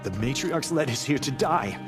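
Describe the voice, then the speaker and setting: A man's voice: medium pitch, animated, normal speed, music in the background, close to an everyday voice. Actor as a despairing tribesman, very varied intonation. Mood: despairing, pleading. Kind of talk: dramatic video game acting.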